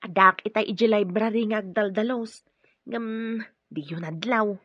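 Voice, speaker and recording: An actress with an Ilocano Filipino accent playing an agreeable, gossipy household worker in a radio drama. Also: female voice, medium pitch, a little slow, no room sound, close to an everyday voice. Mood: chatty. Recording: dull, lo-fi radio drama recording.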